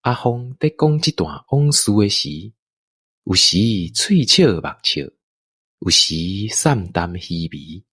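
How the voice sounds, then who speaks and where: Male narrator, Taiwanese accent. Very animated intonation, leisurely pace, storytelling for audio drama. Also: lowish voice, breathy edge, dry recording, studio quality. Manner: unhurried, expressive storytelling delivery.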